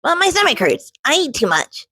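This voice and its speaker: A woman's voice: high voice, very animated, brisk, sharp tone, dry recording, voice pushed up, full character voice. A woman reading aloud and voicing an eager, bubbly young girl who loves pink. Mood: earnest.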